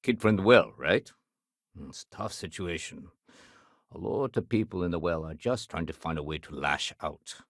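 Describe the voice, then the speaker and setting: A man playing a dutiful, wry, level-headed space-colony security sergeant in a video game, with measured, conversational authority. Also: deep voice, no echo, clean studio sound. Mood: reasonable, low-key. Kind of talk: video game character dialogue.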